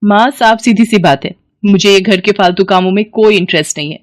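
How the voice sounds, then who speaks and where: A woman's voice: medium pitch, fairly quick, dry recording, studio quality, natural voice. A woman voicing a spoiled, haughty young woman who whines and snaps. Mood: whiny, defiant.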